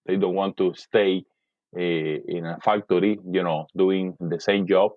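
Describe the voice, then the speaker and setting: A man from Cuba with a Cuban Spanish accent, a guest in an interview, speaking haltingly with many restarts and fillers. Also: low voice, fairly even intonation, dry recording, phone-quality sound. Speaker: podcast guest. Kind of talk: casual interview conversation.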